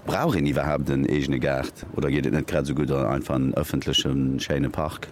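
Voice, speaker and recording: Male radio reporter, Luxembourgish accent, deep low register, casual interviewing, slight location noise behind. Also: fairly even intonation, fast, darkish tone. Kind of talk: informal street interview with young people. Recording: faint ambient noise on location.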